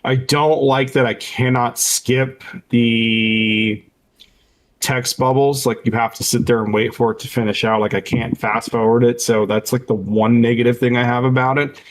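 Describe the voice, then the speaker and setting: A man co-hosting a podcast in casual conversation, somewhat halting and repeating words as he explains things. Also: medium pitch, fairly even intonation. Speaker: podcast co-host. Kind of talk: casual chat about video games and films.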